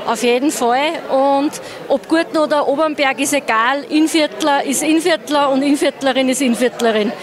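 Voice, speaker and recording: A woman's voice: high voice, moderate pace. A woman in her fifties with an Upper Austrian accent, interviewed as mayor in a large event hall. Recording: crowd noise behind.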